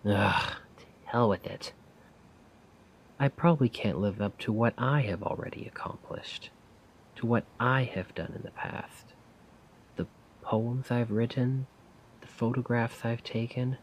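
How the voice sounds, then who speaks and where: A man playing a weary, self-doubting failed poet in a soft-spoken, rambling ASMR roleplay monologue. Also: mid-range voice, a little hiss or hum, lightly acted, rough recording. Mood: despairing, self-doubting. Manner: slow, brooding, rambling. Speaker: roleplay audio performer.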